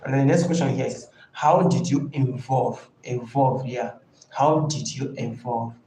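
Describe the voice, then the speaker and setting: A man coaching a live online class in an informal, halting and deliberate way, stressing key points by repeating them. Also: medium pitch, steady tone, dark tone. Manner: emphatic, repeats key phrases. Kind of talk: informal live teaching talk. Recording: echoey room, faint background noise.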